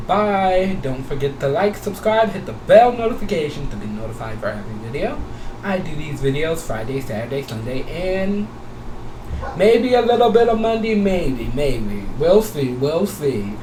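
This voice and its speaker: Swooping, animated gamer host, noisy echoey room. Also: mid-range voice, normal speed, distant mic. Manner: gushing, repeats phrases over and over. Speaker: gaming video host. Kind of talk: casual gameplay commentary and sign-off.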